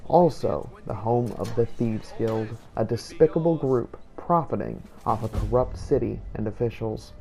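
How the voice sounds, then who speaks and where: A man's voice: medium pitch, moderate pace, creaky, few pauses, warm tone, faint music behind. A man narrating a video game playthrough in scripted, first-person role-play style. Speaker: gameplay narrator.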